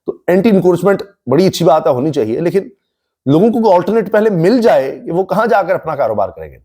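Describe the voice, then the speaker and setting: Mellow-voiced Pakistani man giving news commentary. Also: sits high, very animated, brisk. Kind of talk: political commentary monologue to camera.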